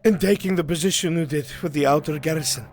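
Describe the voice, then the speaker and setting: A man's voice: fairly high pitch, brisk, gravelly. A man voicing a breathless, anxious male messenger in a fantasy roleplay, stammering and pleading in formal, deferential speech. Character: breathless, frightened, deferential male messenger runner, mud-soaked. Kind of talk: live improvised fantasy roleplay. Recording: noisy live game stream audio.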